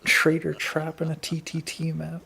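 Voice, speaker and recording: A man's voice: a little high, monotone, normal speed, gravelly, background noise. A man commentating casually on the game he plays, sarcastic and joking, with some swearing. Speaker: player and co-commentator on a gameplay video. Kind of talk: casual gaming commentary chat. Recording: video game music behind.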